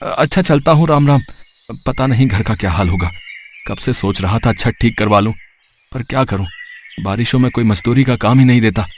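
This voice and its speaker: A man's voice: medium pitch, moderate pace, close to an everyday voice. Guy acting a poor worried village dad, earnest, cartoon story, noisy background. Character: poor worried labourer father, humble and anxious. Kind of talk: Hindi cartoon story character voice.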